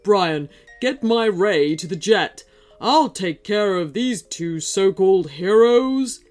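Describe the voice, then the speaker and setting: A woman's voice: lively intonation, slow, a little mellow. A woman voicing a monstrous male wolf, a scheming evil genius, in a growly, theatrical storybook voice. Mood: angry. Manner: booming, theatrical, gloating. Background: music.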